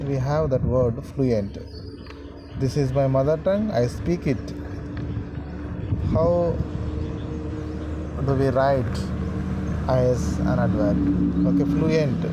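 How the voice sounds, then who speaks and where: A man teaching a grammar lesson to students, repeating sentences and pausing often with fillers. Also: medium pitch, noisy background. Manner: slow, repetitive, halting with many fillers.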